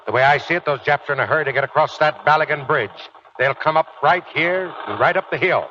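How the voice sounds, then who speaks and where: An actor playing a gruff, commanding ex-Marine sergeant who gives orders in folksy soldier talk, in 1940s radio drama style. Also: male voice, on the high side, fast talker, sharp tone. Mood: respectful. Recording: noisy, muffled 1940s radio broadcast recording.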